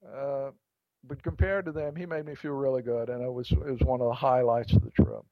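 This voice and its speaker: A man, an author, being interviewed, telling an anecdote in a reflective way with pauses and restarts. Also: mid-range voice, no room sound, highs cut off. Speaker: author guest. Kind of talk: interview storytelling.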